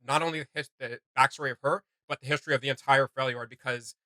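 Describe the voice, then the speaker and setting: Bright-voiced man in casual reaction chat. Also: mid-range voice, steady tone, fast, no echo. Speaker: co-host of a reaction video.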